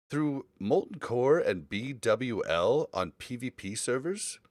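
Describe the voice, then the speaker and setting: A man's voice: fairly low pitch, no room sound, clean studio sound. A man chatting casually on a gaming podcast, giving opinions in a rambling, storytelling way. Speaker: podcast host.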